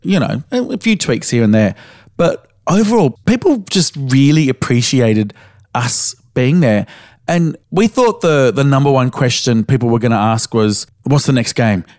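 An Australian man co-hosting a podcast, slightly hoarse as he recovers his voice, telling stories in a frank, casual way with the odd swear word. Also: mid-range voice, animated, normal speed, darkish tone, dry recording, studio quality. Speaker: podcast co-host.

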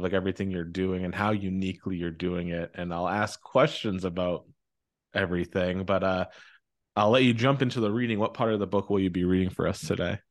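A man hosting a casual author interview podcast, talking in an informal, hesitant way. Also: low-pitched. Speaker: podcast host.

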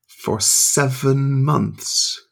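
A man co-hosting a podcast from his home study, talking quietly and chuckling often. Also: mid-range voice, drawn-out words, creaky, husky. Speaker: podcast co-host. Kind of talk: casual chat.

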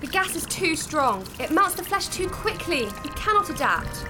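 Woman playing a high-voiced, urgent alien princess. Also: sing-song, normal speed, music in the background. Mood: distressed. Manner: urgent, emotional, commanding. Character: young alien princess, urgent, dutiful, anguished daughter. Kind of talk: sci-fi audio drama acting.

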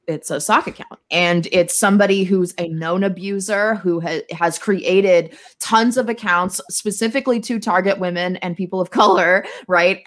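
A woman chatting casually and emphatically as a guest on a talk show, with a cynical way of talking. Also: medium pitch. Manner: self-described cynic, emphatic. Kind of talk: casual chat.

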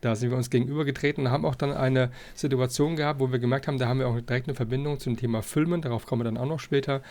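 A man hosting a casual podcast, talking in a halting, wordy way and circling around his point. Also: medium pitch, steady tone, a little mellow. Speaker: podcast host. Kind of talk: rambling, casual podcast introduction and interview.

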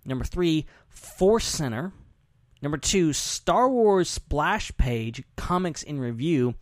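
Animated high-voiced man hosting a podcast. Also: takes it slowly, no room sound, studio quality. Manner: animated, chatty. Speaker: podcast host. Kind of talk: casual podcast chat.

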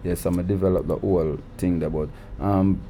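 A man from Jamaica with a Jamaican accent and a naturally deep voice, giving relaxed, easygoing interview answers in a recording studio. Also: brisk, slight background noise. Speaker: guest artist.

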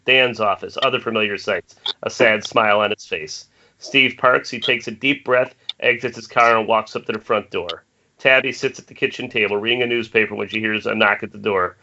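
A man's voice: medium pitch, bright, forceful tone. A man reading stage directions as scripted narration at an amateur table read over an internet call. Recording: dull lo-fi Skype call, faint noise.

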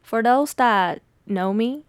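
Candid young woman with a smooth, dry voice. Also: a little high, slow pace, no room sound. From Taiwanese American. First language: Mandarin. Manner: thoughtful, candid, laughs softly between thoughts. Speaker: poet and podcast host. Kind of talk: reflective solo podcast talk.

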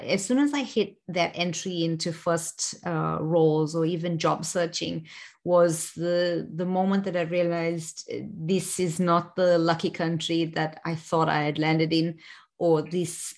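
Low-voiced South African woman reflecting. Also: a little mellow. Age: 40s. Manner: thoughtful, many fillers and restarts. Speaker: interview guest. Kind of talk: reflective personal interview.

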